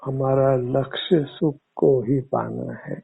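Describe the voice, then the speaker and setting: A man teaching guided meditation in measured, formal, instructive words. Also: mid-range voice, fairly even intonation, gravelly, breathy edge. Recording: muffled phone-like narrow-band audio.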